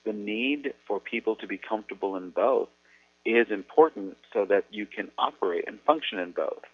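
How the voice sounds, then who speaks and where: A Mexican American man, an educator, explaining things earnestly in a measured way with thoughtful pauses. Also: on the low side, husky, no room sound. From United States. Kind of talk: explanatory talk on radio. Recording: tinny phone-like radio audio.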